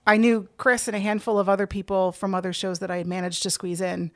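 American woman, casual interviewee, creaky voice, close dry sound, animated storyteller. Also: medium pitch, quick pace, no echo. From United States. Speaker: podcast guest, organizer of play readings.

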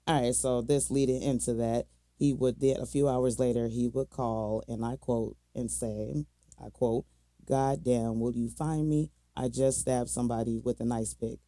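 A man's voice: medium pitch, steady tone, moderate pace, mellow, no room sound. An American man co-hosting a true crime podcast, telling the case casually in slangy, colloquial language. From Minnesota, United States.

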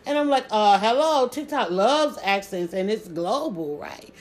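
A woman with a Southern accent chatting casually on a live stream, talking quickly and restarting her sentences often. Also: mid-range voice. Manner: rapid, stumbling restarts, animated emphasis. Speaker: social media creator.

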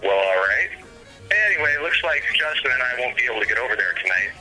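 A man's voice: medium pitch, sing-song, fast, bright, forceful tone, soft background music, rough recording. A man playing a flippant, breezy friend phoning in, in an audio drama, with a telephone-filtered voice.

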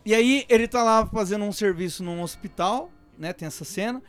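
A man with a Brazilian Portuguese accent in a casual group chat, telling things in an animated, slangy way and swearing freely. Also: high-pitched, a little fast, soft background music. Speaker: panelist in a film discussion.

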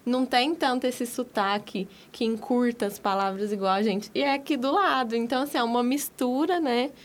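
A woman's voice: fairly high pitch, normal speed. A woman from Minas Gerais with a Minas Gerais accent, explaining things with examples in a casual chat among friends. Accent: Minas Gerais Brazilian Portuguese. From Minas Gerais, Brazil. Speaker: conversation participant.

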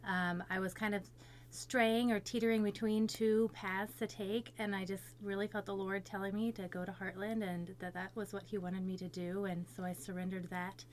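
A woman in her thirties speaks at a medium pitch as a podcast guest from Florida. She shares her story conversationally, with faint noise and hum behind her. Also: level tone, normal speed. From United States, Florida. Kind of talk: conversational personal testimony.

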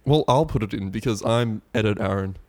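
Laid-back podcast co-host. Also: male voice, on the low side, fairly quick, a little mellow. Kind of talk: casual chat.

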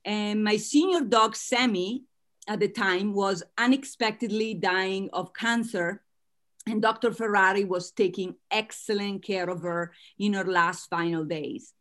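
A woman's voice: medium pitch, a little slow, a bit bright. A woman with an Italian accent, answering interview questions earnestly and laughing lightly between points. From Italy. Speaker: guest author.

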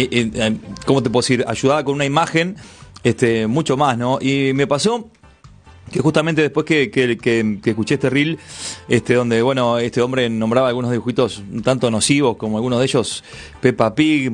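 A man with a Uruguayan accent presenting a radio segment in a chatty, rambling way, circling back on his phrases. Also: medium pitch. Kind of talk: casual radio chat. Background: music in the background.

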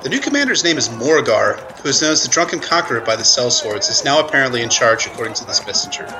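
Radio play war correspondent, male actor: middle-pitched, urgent field reporting over clamorous crowd sounds. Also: very animated, fast, poor audio. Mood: grave, urgent. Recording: loud battle crowd noise behind. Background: battlefield crowd effects.

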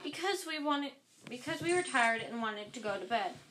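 A nervous young schoolboy protests loudly in a high voice and stumbles over his excuses, as a woman reads him aloud. It is a storybook read aloud. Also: expressive, moderate pace, a little hiss or hum, poor audio. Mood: flustered.

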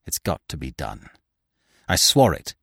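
Guy doing a furious half mad widow from a storybook, taunting, very dramatic, pitch all over, dry sound. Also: very animated, normal speed, dry recording. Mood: frightened, faltering. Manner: melodramatic, taunting, exclamatory. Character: vengeful, furious, half-demented widow, cruel and fearful.

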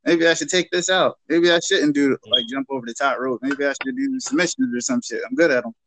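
A man's voice: on the high side, sing-song, brisk, noisy background. Casual, slangy man in his thirties. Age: 30s. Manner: swearing, informal. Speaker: guest, independent wrestler. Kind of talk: casual chat, slangy podcast talk.

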